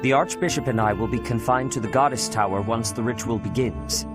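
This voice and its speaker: A man playing a stern, dutiful church knight-commander in a video game, speaking gravely, formally and deliberately. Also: mid-range voice, close to an everyday voice. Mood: grave, vigilant. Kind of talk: scripted video game voice acting. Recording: clear music behind the voice. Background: game soundtrack music.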